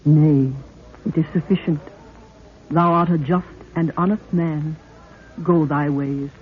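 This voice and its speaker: High-voiced, stately monarch. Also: male voice, steady tone, slow. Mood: solemn farewell. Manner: stately, archaic, formal. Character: gracious, solemn monarch of a lost people. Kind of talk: 1930s radio acting. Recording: tinny, noisy 1930s radio recording.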